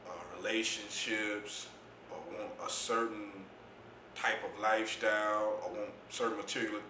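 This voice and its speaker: A man with a deep voice, speaking as an interview guest in a laid-back, reflective way about his poetry and youth mentoring. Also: flat delivery, measured pace, bright, forceful tone, echoey room, slight background noise. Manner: laid-back, reflective, says "you know what I'm saying" often. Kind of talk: casual interview talk.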